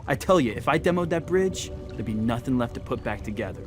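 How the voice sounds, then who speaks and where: An actor playing a streetwise, cocky resistance fighter with an American accent, talking in brash slang in a video game. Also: male voice, mid-range voice, animated, brisk, natural voice. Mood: brash, fired up. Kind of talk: video game cutscene dialogue.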